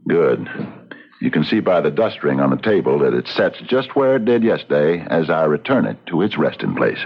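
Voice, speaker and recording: A devout frontier detective for radio drama, extremely low and husky. He speaks slowly and solemnly, calm and cryptic, with big swings in pitch. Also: exaggerated voice. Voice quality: deep, gravelly. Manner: slow, solemn, scripture-quoting. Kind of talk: radio mystery drama acting. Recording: dull lo-fi radio recording with faint music.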